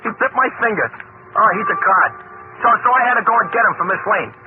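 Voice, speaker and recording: Excitable radio-play cabbie: high voice, very fast babble, scatterbrained and eager. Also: male voice. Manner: babbling, repeats himself. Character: excitable, eager, scatterbrained cab driver and helper. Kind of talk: 1940s radio comedy-drama acting. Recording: tinny, noisy old radio recording.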